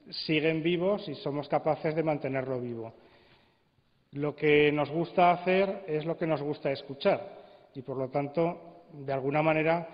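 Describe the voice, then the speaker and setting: Echoey Spanish man, higher-pitched voice. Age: 50s. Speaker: radio school coordinator on a panel. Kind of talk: public panel introduction. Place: large hall at a congress. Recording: muffled, long hall reverb.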